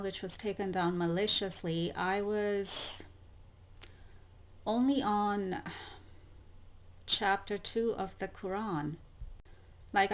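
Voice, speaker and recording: Woman host, solo spiritual talk, unhurried and deliberate, repeats her questions, mid-range voice. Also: mid-range voice. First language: not Arabic. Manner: slow, deliberate, repetitive questioning. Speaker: YouTube channel host. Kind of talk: solo spiritual monologue to camera.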